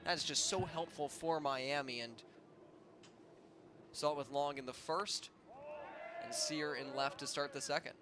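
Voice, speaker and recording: A man with a slightly high voice works as a baseball color commentator, analysing plays conversationally. There is noticeable outdoor ballpark noise around him. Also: steady tone, unhurried, pauses often. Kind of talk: conversational live sports analysis. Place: ballpark, live broadcast. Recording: noisy outdoor stadium ambience.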